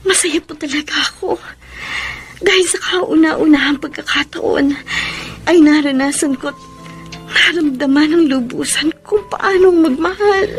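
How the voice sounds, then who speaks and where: A woman's voice: high-pitched, a little slow, faint music behind. An actress with a Filipino accent playing a sweet, innocent young woman in love in a radio romance drama. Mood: earnest, happy.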